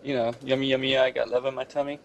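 A man's voice: medium pitch, little pitch movement, very noisy. Wry teasing man on a podcast. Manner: wry, teasing, quick retorts. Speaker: podcast co-host. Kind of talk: casual banter.

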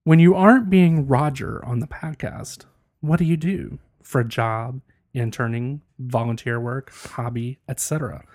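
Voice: medium pitch, a little mellow, dry recording, studio quality. A podcast host asking questions in casual chat, teasing the guest. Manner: teasing, asks rapid lists of questions.

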